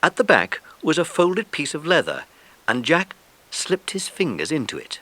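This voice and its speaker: A man narrating a children's story in an expressive, dramatic way, as in a scripted audiobook. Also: fairly high pitch, moderate pace.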